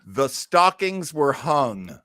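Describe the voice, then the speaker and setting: A man's voice: mid-range voice, very animated, slow pace, bright, forceful tone, no echo. Male podcast host, enthusiastic rambling storyteller, casual delivery, dry close recording. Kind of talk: casual chat about old time radio.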